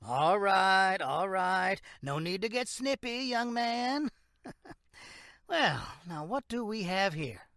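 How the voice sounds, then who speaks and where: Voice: medium pitch, animated, takes it slowly, vocal fry, fairly bright tone, no room sound, studio quality, heavily acted. A voice actor playing a lazy, folksy, condescending older small-town sheriff in a horror audio drama. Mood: folksy, patronizing. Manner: drawling, folksy, dismissive.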